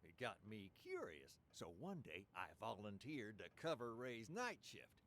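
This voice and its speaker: An actor playing a stern, calculating ringleader in a radio drama, theatrical and commanding. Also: male voice, on the low side, wildly swooping pitch, unhurried, a bit bright, heavily acted. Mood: sly, explaining. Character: bossy male scheming ringleader, stern and calculating.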